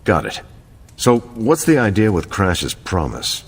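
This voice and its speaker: A man's voice: lowish voice, sing-song, unhurried, breathy edge, faint music behind, full character voice. A man acting as an earnest, puzzled hero in a video game cutscene, inquisitive and matter-of-fact. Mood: puzzled, curious.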